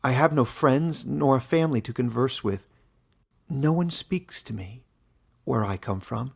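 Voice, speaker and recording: Man acting a young outcast woodcutter, rough creaky voice, halting, bitter, humble, emotional fantasy storybook hero. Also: medium pitch. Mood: ashamed, apologetic. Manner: halting, emotional, stammering apologies and protests. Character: anguished young outcast log splitter, bitter yet humble.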